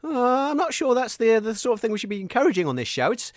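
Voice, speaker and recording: A man's voice: very high voice, very animated, fast, no echo, clean studio sound. A man co-hosting a comedy radio show, polite and flustered, stammering hesitantly.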